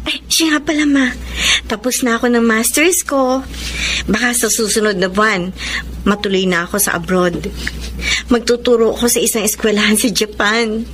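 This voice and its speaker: A woman's voice: on the high side, fairly even intonation, moderate pace, a bit bright, a little hiss or hum, natural voice. A woman playing a concerned young adult daughter and younger sister in a Filipino radio drama, with music behind her. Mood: gentle, apologetic. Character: gentle, sorrowful younger sister and dutiful daughter.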